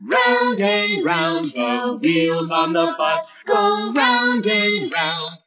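A woman's voice: medium pitch, huge pitch swings, extremely slow, far from the mic. A woman singing a children's nursery song in a simple sing-along way, with the words repeated over and over. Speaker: singer. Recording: voice set back from the mic over loud backing music.

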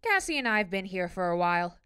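A woman's voice: medium pitch, very animated, fast, bright, forceful tone, clean tone, no echo, clean studio sound. A woman playing a confident, responsible, bossy teenage girl in an audiobook, speaking firmly. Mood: proud, explaining. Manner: firm, assertive. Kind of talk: audiobook character dialogue.

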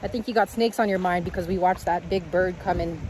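A woman's voice: fairly low pitch, steady tone. A woman, chatting casually to her camera while hiking outdoors, holding it at arm's length. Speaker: vlogger. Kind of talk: casual outdoor vlog chat. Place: outdoors on a rocky creek trail. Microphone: handheld camera at arm's length. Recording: noisy outdoor recording with wind and rushing water.

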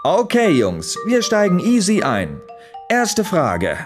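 A man playing a showy, teasing game show host in an audio drama, with theatrical, drawn-out catchphrases. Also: high-pitched, wildly swooping pitch, full character voice. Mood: brisk, showy. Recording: music and crowd behind the voice.

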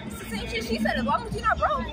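A woman's voice: extremely high pitch, big pitch swings, rapid-fire. A woman in her twenties answering street interview questions candidly, in slangy casual talk. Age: 20s. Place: busy city street at night. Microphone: interviewer's phone. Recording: loud street with music and crowd. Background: loud music and crowd.

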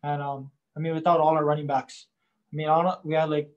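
A young man, a college quarterback, answering post-game press questions in a halting way, leaning on "I mean" and crediting his teammates. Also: a little high, flat delivery, lo-fi sound. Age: young adult. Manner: halting, starts nearly every phrase with "I mean".

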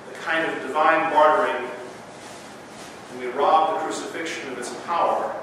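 Man, pastor, earnest sermon, long church reverb, hiss in background. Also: a little high. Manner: earnest preaching with emphatic rises. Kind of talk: sermon and scripture reading. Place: church sanctuary with pipe organ. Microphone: far camera. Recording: echoey church from far off, noisy.

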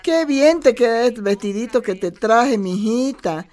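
A woman's voice: on the high side, animated, a little slow, a little mellow, faint music behind. A woman playing a folksy, affectionate elderly Bolivian grandmother in a radio drama. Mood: tender, doting. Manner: affectionate, folksy, uses many diminutives.

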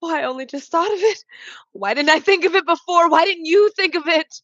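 A woman's voice: extremely high pitch, a little fast, a bit bright, no room sound, dull audio. A woman acting an elderly, grieving mother in a radio play, emotional and pleading. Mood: frantic, urgent. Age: older. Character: elderly grieving mother, anxious, desperate and insistent.

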